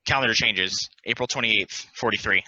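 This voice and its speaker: A man narrating stage directions at a play table read in a plain, deliberate, announcing manner. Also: lowish voice, pushed and bright, no room sound, lo-fi sound.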